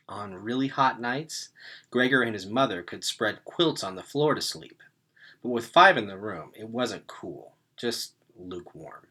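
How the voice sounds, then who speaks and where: A man reads a storybook aloud with lively, swooping pitch and a bright, forceful voice. He leans on key words for dramatic effect, in an expressive narrating style. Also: husky. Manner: animated, dramatic emphasis. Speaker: read-aloud reader.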